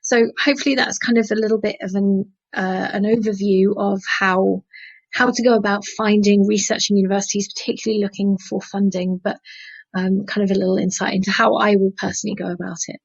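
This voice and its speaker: Gravelly woman on a muffled call. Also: medium pitch, little pitch movement, fairly quick. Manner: chatty, many fillers. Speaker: panel speaker guiding students. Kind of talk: screen-share walkthrough.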